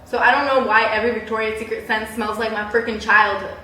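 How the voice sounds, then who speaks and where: A young woman chatting casually in a personal vlog, with a bubbly, animated, giggly way of exaggerating things. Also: fairly high pitch, fast talker. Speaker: vlogger. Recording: roomy echo, distant mic, background hiss.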